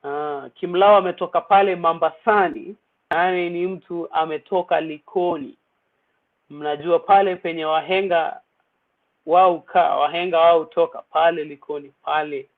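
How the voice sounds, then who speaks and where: A man's voice: on the high side, steady tone, moderate pace. A young man hosting an online poetry open mic in a chatty, playful way, mixing English with Swahili slang. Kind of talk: casual livestream hosting. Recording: tinny phone-like audio.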